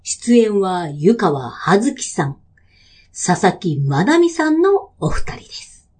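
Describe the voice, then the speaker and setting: A woman's voice: mid-range voice, big pitch swings, slow pace, darkish tone, breathy edge. A woman presenting a web radio show with scripted, polite and playful greetings and announcements.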